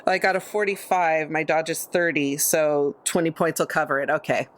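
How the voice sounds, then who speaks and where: A woman's voice: low voice, fairly even intonation, normal speed, slight background noise. A woman playing a tabletop game, speaking casually in brief, matter-of-fact statements.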